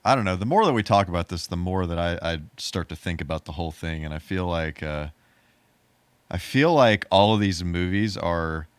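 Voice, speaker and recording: A man's voice: low-pitched, expressive, moderate pace, smooth, dry recording. A man co-hosting a podcast in casual conversation, thinking aloud tentatively with false starts. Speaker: podcast co-host.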